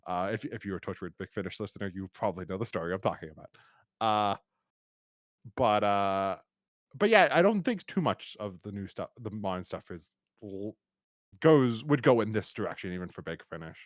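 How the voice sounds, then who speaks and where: Rambling podcast man with swooping pitch. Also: lively intonation, no room sound, clean studio sound. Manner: rambling, halting with repeated false starts. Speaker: podcast host. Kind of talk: casual review chat.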